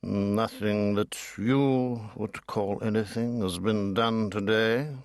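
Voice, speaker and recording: Man acting deliberate, discreet old family lawyer. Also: lowish voice, fairly even intonation. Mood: dry, deferential.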